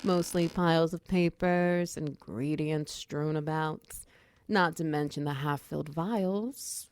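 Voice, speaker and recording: Woman playing a chatty, lowish-voiced minotaur shopkeeper wizard. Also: steady tone, takes it slowly, a little mellow, no room sound. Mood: bubbly, slightly embarrassed. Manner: chatty, giggly, casual and conversational. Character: friendly, flustered female minotaur potion-shop wizard, bubbly boss. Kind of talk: roleplay ASMR audio drama, addressing the listener.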